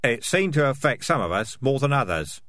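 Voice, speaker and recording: A man voicing a tough, loyal and suspicious male rat in a storybook, blunt and forceful. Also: medium pitch, sing-song, moderate pace, no echo, voice pushed up. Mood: urgent.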